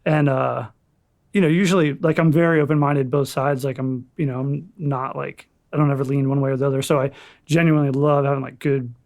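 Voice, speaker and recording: Gravelly-voiced young man, chatty. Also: mid-range voice, a little fast. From Florida, USA. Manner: relaxed, rambling, swears casually. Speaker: podcast guest, firefighter paramedic. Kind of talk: casual chat.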